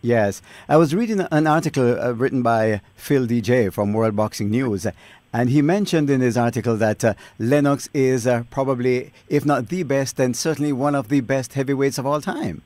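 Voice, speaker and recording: A man hosting a radio interview, asking measured questions full of small fillers. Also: mid-range voice, darkish tone, no room sound. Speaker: radio host.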